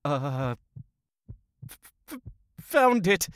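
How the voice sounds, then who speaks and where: A man's voice: on the high side, sing-song, extremely slow, smooth, no echo, exaggerated voice. A man playing a jittery, naive young thief with a nervous, plain-spoken manner.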